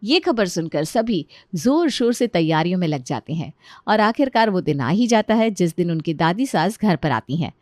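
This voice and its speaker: A woman's voice: fairly low pitch, big pitch swings, normal speed, a little mellow, no echo, studio quality. A woman narrator reading a scripted story.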